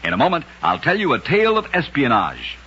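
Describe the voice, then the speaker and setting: A man narrating scripted strange tales for an old-time radio show, with deliberate, dramatic storytelling delivery. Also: medium pitch, huge pitch swings, sharp tone, breathy edge. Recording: dull old radio recording.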